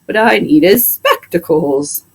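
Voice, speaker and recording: A woman voicing a plain-spoken, indignant male plow horse with drawn-out, emphatic complaints in a storybook. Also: medium pitch, expressive, vocal fry, darkish tone, slightly rough, full character voice.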